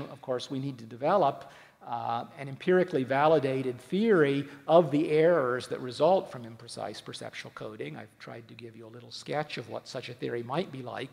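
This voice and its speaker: A man giving a formal academic lecture, speaking in a measured, careful, hedging manner. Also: mid-range voice, creaky. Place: large hall, public lecture. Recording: reverberant lecture hall.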